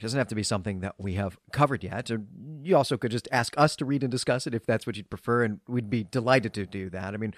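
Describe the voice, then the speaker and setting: A man's voice: fairly low pitch, no room sound. Chatty man co-hosting, very dry sound. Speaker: podcast co-host. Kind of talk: conversational literary podcast hosting.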